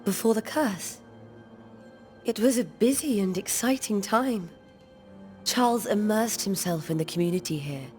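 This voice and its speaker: An actress gives us a genteel, devout colonial wife weighed down by grief. Her voice sits at a middle pitch and her phrasing is formal and old-fashioned. It is video game drama, with faint music behind. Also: unhurried, pauses often, darkish tone, close to an everyday voice. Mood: composed, wistful.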